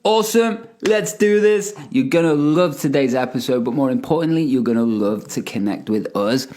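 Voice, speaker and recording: A man's voice: medium pitch, lively intonation, normal speed, a little mellow. A man hosting a podcast, talking with enthusiastic, hype-driven energy and calling his guest "mate". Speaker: podcast host. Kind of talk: energetic podcast intro and interview.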